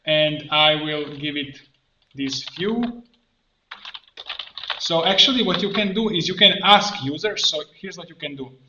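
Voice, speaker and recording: A man presenting an informal screen-share tutorial, thinking aloud step by step in halting phrases. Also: a little high, animated, pushed and bright. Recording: roomy echo, muffled band, background noise. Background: keyboard typing and mouse clicks.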